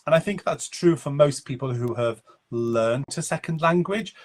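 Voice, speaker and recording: Reflective Welsh man, measured speech. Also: fairly high pitch. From Wales. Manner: reflective, measured, pauses to find words. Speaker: guest author. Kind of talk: conversational interview.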